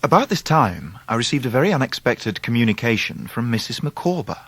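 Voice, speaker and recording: An actor playing a reflective grown man who narrates his own life in a literary radio drama, with an English accent and measured delivery. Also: medium pitch, sing-song, fairly bright tone, no room sound.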